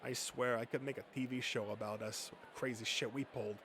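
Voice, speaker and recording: A man's voice: mid-range voice, fairly even intonation, fast, fairly bright tone, a little hiss or hum, natural voice. Man playing a crude, rowdy storytelling nerd. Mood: gleeful. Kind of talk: comic dub character acting.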